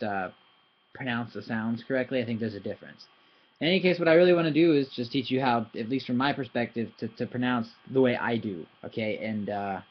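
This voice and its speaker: A young man teaching a language in a casual video lesson, rambling and correcting himself, animated when he demonstrates sounds. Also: medium pitch, dull audio. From foreigner in Thailand.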